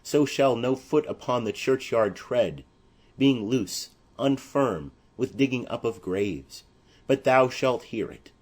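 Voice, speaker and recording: A man's voice: mid-range voice, expressive, slow, a little mellow. A man playing a proud, mournful young noble who declaims formal verse in a tragedy audiobook. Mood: commanding. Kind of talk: classical tragedy audiobook acting.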